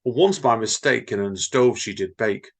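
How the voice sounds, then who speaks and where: A man's voice: on the low side, moderate pace. A man, co-hosting a podcast, reading stories and limericks aloud and chatting with wry teasing. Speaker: podcast co-host and reader. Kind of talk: reading poems and casual chat.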